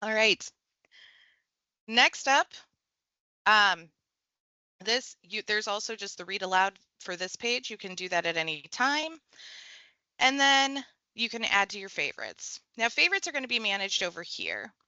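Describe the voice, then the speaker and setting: Bright-voiced woman giving a software tutorial. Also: mid-range voice, big pitch swings, a little slow, long pauses, dry recording, lo-fi sound. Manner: conversational, walks through clicks step by step. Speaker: tech trainer. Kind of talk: screen-share software tutorial.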